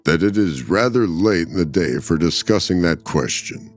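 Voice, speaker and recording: A man playing a gruff, suspicious husband full of cold loathing in a dramatic story reading. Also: low-pitched, lively intonation, normal speed, faint music behind. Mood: gruff, curt.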